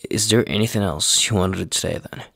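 A man playing a tender, anxious young boyfriend in a roleplay ASMR audio, husky and halting in his speech. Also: fairly low pitch, dry recording, clean studio sound, heavily acted. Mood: pleading. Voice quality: husky, gravelly. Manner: halting, hesitant, soft-spoken, sighing.

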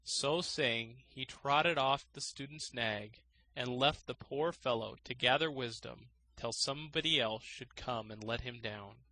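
A man's voice: mid-range voice, measured pace, pushed and bright, dry recording, poor audio. A man narrating a fairy tale as an audiobook reader, in a scripted storytelling style.

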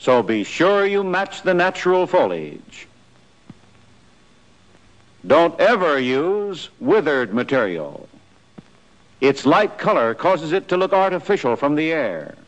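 An American man narrating a 1940s military training film in a scripted, instructive style, with clear imperative delivery. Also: a little high, sing-song, slow pace, pauses often, dull audio. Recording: noisy 1940s film soundtrack.

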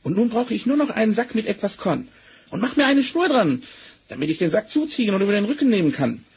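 High, creaky, cunning tomcat. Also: male voice, fast talker. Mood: confident, content. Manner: theatrical, self-satisfied, flattering. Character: cunning, cheerful, boastful talking tomcat in boots. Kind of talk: 1970s German fairy-tale radio play acting. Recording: noisy old vinyl record rip.

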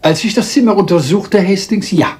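An actor playing a small, self-assured Belgian detective with a French accent, theatrical and politely lecturing in a radio crime drama. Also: male voice, high voice, darkish tone, lightly acted. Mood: explaining, confident. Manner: theatrical, deliberate, polite, lecturing, teasing rhetorical questions.

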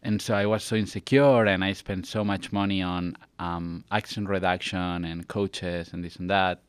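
A young man with a Spanish accent speaks in a low voice, close and dry on a studio mic. He talks casually and earnestly, stressing his points like a guest in a relaxed interview. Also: normal speed, clean tone, no echo. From Catalonia, Spain. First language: Spanish and Catalan. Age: young adult. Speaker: actor, podcast guest. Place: small podcast studio. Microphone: close boom-arm studio mic.

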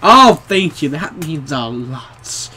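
A young man in a small bedroom, casually commenting on a horror game for viewers, jumpy and excitable, swearing often. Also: fairly high pitch, slow pace, breathy edge. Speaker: gaming video creator.

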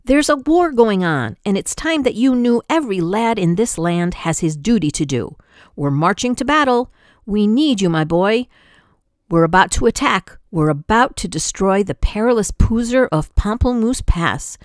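A woman's voice: mid-range voice, lively intonation, normal speed, dry recording, studio quality, close to an everyday voice. A woman voicing a blustering, pompous male army general who bellows his commands.

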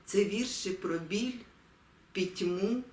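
A woman from Ukraine reading poetry in a solemn, deliberate, expressive way with dramatic pauses. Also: mid-range voice. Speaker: poetry reader. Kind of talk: solemn poetry recitation with spoken introduction. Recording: echoey room, distant mic, music behind.

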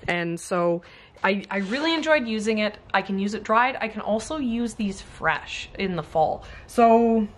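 A Canadian woman in her thirties talks casually to a camera a short distance away. Her voice sits at an average pitch for a woman. She rambles with enthusiasm, like a small-scale farmer showing off new stock in her workshop. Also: expressive, unhurried. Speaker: flower farmer and vlogger. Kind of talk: casual unboxing chat to camera. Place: indoors in a large cluttered garage workshop.

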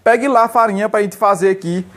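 A man's voice: sits high, fast, a bit bright, voice pushed up. A young man voicing a nagging Northeastern Brazilian mother, in a sing-song, exclaiming Northeastern Brazilian accent.

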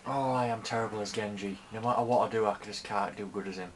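A man's voice: lowish voice, steady tone, fast, a little hiss or hum. A man giving casual, self-deprecating commentary over his own gameplay, swearing and muttering to himself. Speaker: gaming channel host.

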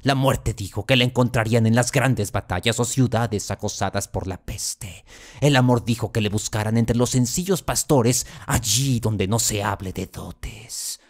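Man acting a slow, low-voiced vengeful duke. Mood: scornful lecturing. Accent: Latin American Spanish. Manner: solemn, declamatory, deliberate. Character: vengeful, anguished Jacobean duke, a wronged brother. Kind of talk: classical theatrical verse monologue.

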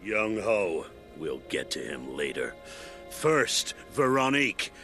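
An actor playing a mocking, pretentious cultist artist, a talking severed head, theatrical and grandiose in a video game. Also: male voice, low-pitched, big pitch swings, slow pace, sharp tone, faint music behind, heavily acted. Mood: grandiose, knowing. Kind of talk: video game cutscene acting.

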